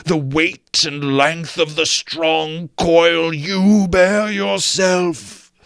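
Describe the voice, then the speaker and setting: A man's voice: fairly high pitch, fairly bright tone, no echo, clean studio sound, pitched above the performer's own voice, exaggerated voice. A man doing Marley's wailing chained ghost. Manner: slow drawn-out wailing delivery. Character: old ghost of a dead business partner, wailing, chained, mournful. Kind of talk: storybook reading.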